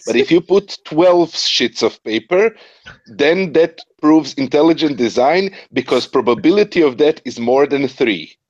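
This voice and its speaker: A man's voice: on the high side, expressive, unhurried, no echo, lo-fi sound. A man in a casual online group chat, playful and sarcastic.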